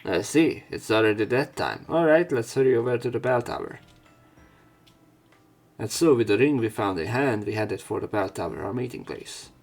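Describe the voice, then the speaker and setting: A man's voice: medium pitch, lively intonation, moderate pace, faint music behind. A man reading a visual novel's text aloud, breaking off now and then for casual, jokey commentary. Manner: casual, jokey asides between read lines. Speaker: let's play narrator.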